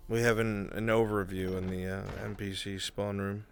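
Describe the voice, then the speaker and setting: Low-voiced man giving directions. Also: level tone, normal speed. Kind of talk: casual out-of-character directions. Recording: music behind the voice.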